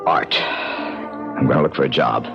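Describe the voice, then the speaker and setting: An actor playing a bitter, tormented, self-pitying failed painter with a brooding, dramatic delivery in vintage radio drama style. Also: male voice, rumbling low, a little slow, vocal fry, heavily acted. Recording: muffled old radio broadcast, music behind.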